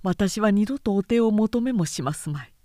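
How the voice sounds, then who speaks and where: A woman reading a literary story, voicing a gentle, solemn man who makes hushed, poetic declarations of secret love. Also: medium pitch, lively intonation, normal speed, no echo, studio quality, close to an everyday voice. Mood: solemn, resigned. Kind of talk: literary audiobook character acting.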